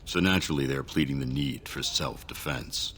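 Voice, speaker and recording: An actor playing a gruff, blunt and weary dwarf warrior in a fantasy video game. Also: male voice, very deep voice, normal speed, fairly bright tone, slight background noise. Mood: grave, dry.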